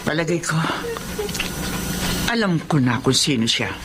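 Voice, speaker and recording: A woman's voice: deep voice, animated, measured pace, noisy background. A woman acting a grave, knowing mother in a Filipino radio horror drama, speaking in an ominous, insistent way.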